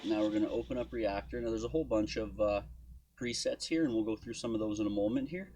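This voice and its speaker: A man giving an informal software walkthrough as a tutorial host, thinking aloud as he goes. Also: lowish voice, fast, background noise. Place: indoor desk at home studio.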